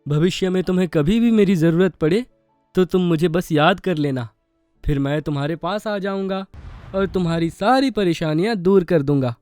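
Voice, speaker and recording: Man voicing a high-pitched magical talking carrot. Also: moderate pace, a little mellow, smooth, dry recording, faint music behind, natural voice. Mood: kindly reassuring. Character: friendly magical talking carrot, pleading yet generous. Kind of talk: animated moral story acting.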